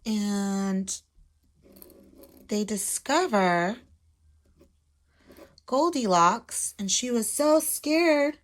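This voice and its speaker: A woman's voice: mid-range voice, lively intonation, very slow, pauses often. A woman teacher explaining things simply to young children, talking casually as she draws and adding little check-in questions. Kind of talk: casual instructional talk while drawing.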